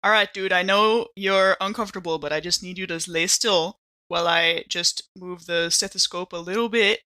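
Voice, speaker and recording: A woman's voice: lowish voice, steady tone, moderate pace, sharp tone. A woman playing a firm, casual ship medic in a tabletop game, reassuring with some exasperation in slangy speech. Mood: firm, exasperated.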